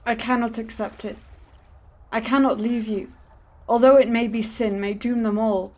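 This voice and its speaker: A woman's voice: on the high side, steady tone, normal speed, a little mellow, natural voice. A woman playing Edwin, a devout, visionary young man, speaking in earnest, old-fashioned dialogue for a drama. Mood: anguished.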